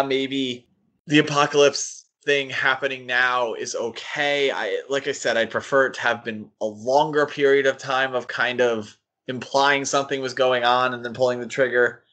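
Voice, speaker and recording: A man's voice: mid-range voice, fairly bright tone. A man hosting a podcast, chatting in a casual, conversational way. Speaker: podcast host.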